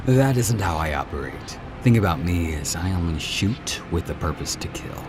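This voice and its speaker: A man's voice: low voice, animated, normal speed, music in the background. A man playing a taunting, swaggering hunter god with a drawl in an audio drama. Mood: grim menace. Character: cocky, taunting male hunter god, menacing and mocking.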